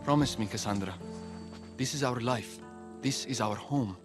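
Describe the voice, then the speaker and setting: A man playing a gentle, brooding young father in a video game cutscene, soft and earnest. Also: medium pitch, normal speed, music in the background, lightly acted.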